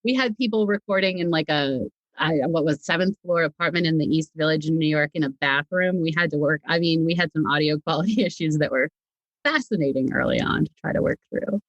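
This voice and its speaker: A podcast guest, a writer and director, telling stories in a chatty, animated way in a casual interview. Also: mid-range voice, fairly quick, dry recording, dull audio.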